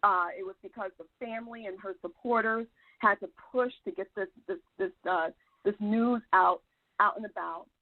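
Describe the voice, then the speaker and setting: A woman's voice: medium pitch, normal speed, dry recording. A woman calling in to a talk show, earnest and polite as she lays out her reasoning. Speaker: caller. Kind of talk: call-in commentary. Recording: tinny phone line.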